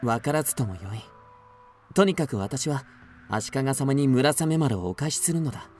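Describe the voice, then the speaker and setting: A young samurai, acted by a man in a period radio drama, honorable and gentle. He speaks in formal, old-fashioned courtesies with steady resolve, over faint music. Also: medium pitch, moderate pace, lightly acted. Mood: resolute, tender. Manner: formal, archaic, courteous speech.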